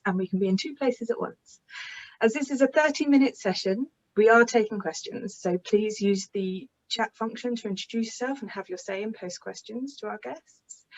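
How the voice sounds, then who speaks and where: A young adult woman opens a webinar as its moderator, speaking over a video call. Her delivery is polished and upbeat. The call audio sounds a little dull and lo-fi. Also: medium pitch. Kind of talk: scripted webinar introduction. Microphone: webcam on video call.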